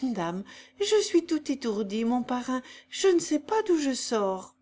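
A woman's voice: sits high, sing-song, a little slow, pitched above the performer's own voice, exaggerated voice. A woman voicing a dazed young peasant girl. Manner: naive rustic speech, bright and eager.

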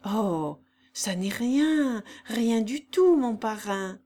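A woman's voice: on the high side, expressive, very slow, exaggerated voice. A woman voicing a sweet, simple young peasant girl, dazed and talking in a naive rustic way. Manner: naive rustic speech, bright and eager.

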